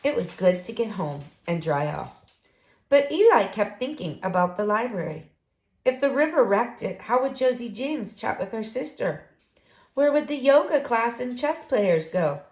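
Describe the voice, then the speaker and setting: A woman reading a children's story aloud in an expressive, deliberate, dramatic way. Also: a little high. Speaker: storybook reader. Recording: roomy, echoing room sound.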